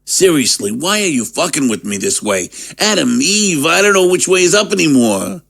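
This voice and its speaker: A man's voice: fairly high pitch, big pitch swings, moderate pace, creaky, a bit bright, breathy edge, exaggerated voice. An actor playing an anxious, confused male initiate, hesitant and defensive, in an audio drama. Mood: confused, upset.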